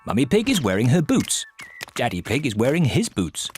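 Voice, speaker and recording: A man narrating a children's cartoon in a calm, dry, understated way. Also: mid-range voice, normal speed, a little hiss or hum. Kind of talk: scripted storybook narration. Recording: narration with loud cartoon music.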